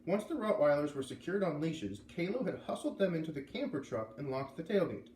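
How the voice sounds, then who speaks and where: A man reading a storybook aloud as expressive narration. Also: a little high, fairly quick. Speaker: storybook reader. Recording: echoey room, faint background noise.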